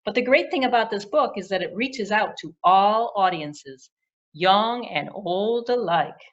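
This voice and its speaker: A woman's voice: mid-range voice, lively intonation, unhurried, dull audio. A woman storyteller and puppeteer reviewing a children's book in conversation with a puppet.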